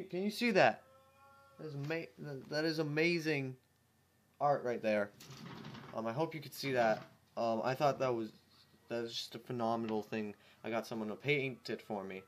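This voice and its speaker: Man reading fan fiction, amused, stumbling, mid-pitched voice, casual commentary. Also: normal speed, long pauses, a little hiss or hum. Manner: amused, stumbling, laughs at the text.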